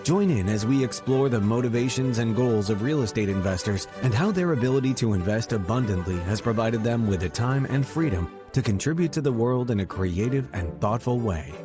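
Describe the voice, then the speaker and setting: A man's voice: mid-range voice, expressive, a little fast, hardly any pauses. Announcer man over music. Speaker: show announcer. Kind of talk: scripted show intro.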